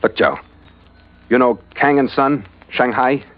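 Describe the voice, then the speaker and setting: An actor playing a tough, wry American ship captain in a hardboiled 1940s radio adventure, clipped and wisecracking. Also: male voice, medium pitch, measured pace, close to an everyday voice. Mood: urgent, probing. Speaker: lead actor and narrator. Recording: muffled vintage radio recording with music.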